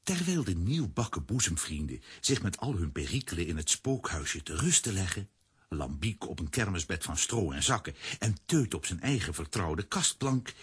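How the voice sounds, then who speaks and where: A male narrator tells a children's story from a script. His voice is low and very husky, with lively rises and falls.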